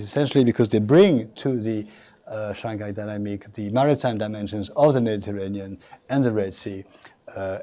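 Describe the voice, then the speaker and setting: A man giving a university lecture, formal and halting, with many fillers and restarts. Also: on the low side, warm tone. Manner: formal, addresses audience directly.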